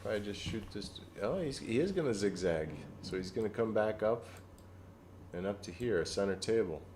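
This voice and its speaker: A man giving relaxed live commentary on a pool match, explaining each shot and joking dryly. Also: fairly low pitch, moderate pace, slight background noise.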